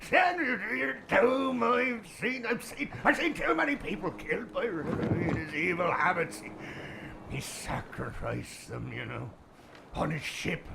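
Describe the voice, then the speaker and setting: Creaky, high-pitched old boatman. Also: animated, unhurried, noisy background, heavily acted. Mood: fearful, pleading. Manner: rustic sailor speech, quavering pleas. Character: old superstitious boatman, cowardly, rustic, fearful. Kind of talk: radio play acting.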